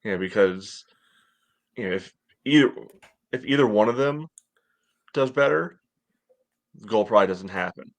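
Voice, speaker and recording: A man in his thirties giving casual sports analysis in a measured, conversational way as a podcast co-host on a video call from home. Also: fairly low pitch, animated. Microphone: headset on video call.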